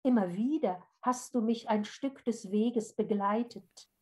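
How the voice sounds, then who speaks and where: A woman narrator gives voice to a little old woman from a storybook. She is fearless and girlishly light-hearted, speaking in a fairly high voice, openly and kindly, without hurry. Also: a little slow, close to an everyday voice. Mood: kind, reassuring. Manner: cheerful, open, gently encouraging.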